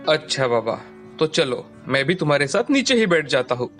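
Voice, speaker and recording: A man's voice: fairly high pitch, sing-song, normal speed, fairly bright tone. A man voicing an earnest, stubborn young man, a pampered lovestruck son in a cartoon story. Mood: affectionate. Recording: music bed behind the voice.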